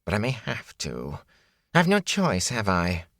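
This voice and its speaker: A man voicing a fearful, sly and boastful ragged peddler in an audiobook, with a rasp, a whine and folksy rustic phrasing. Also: on the low side, wildly swooping pitch, a little slow, a bit bright, no room sound, studio quality, voice pushed up, full character voice. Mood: bitter, whining. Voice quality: raspy, sometimes dropping to a hoarse whisper.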